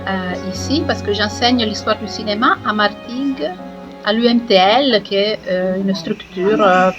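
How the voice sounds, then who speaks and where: A woman with an Italian accent, a film historian and teacher, speaking hesitantly in an interview with many pauses and fillers. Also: mid-range voice, highs cut off, over music.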